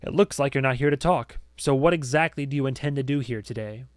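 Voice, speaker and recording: A man's voice: mid-range voice, fast, clean tone, no echo, lightly acted. A man plays an outspoken young woman in an audiobook. The delivery is casual, blunt and wryly exasperated, in a dry recording. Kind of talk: audiobook character acting.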